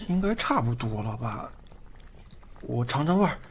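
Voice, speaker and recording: 一名男演员在广播剧里饰演一个甜蜜害羞、爱说话的年轻男友，语气真诚、带点孩子气和自嘲。. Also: male voice, medium pitch, sing-song, normal speed, slight background noise. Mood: teasing, happy. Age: young adult. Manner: earnest, boyish, self-deprecating. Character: sweet, bashful, chatty young boyfriend in love. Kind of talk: Chinese audio drama acting. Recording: music under the voice. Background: background music.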